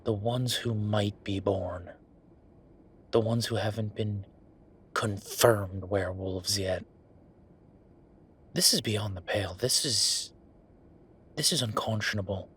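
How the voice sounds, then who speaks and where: A man's voice: fairly low pitch, slow pace, pauses often, a bit bright, a little hiss or hum. A man playing an angry, bitter werewolf boyfriend who vents with sweary sarcasm in a dramatic audio roleplay monologue. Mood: exasperated. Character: angry, exasperated male werewolf boyfriend, bitter office worker.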